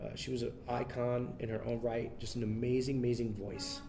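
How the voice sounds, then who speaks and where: A man being interviewed, talking in a relaxed way with many fillers and restarts. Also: mid-range voice, fairly quick, creaky, background noise. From United States. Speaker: interview guest, son of a famous bandleader. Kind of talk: casual interview.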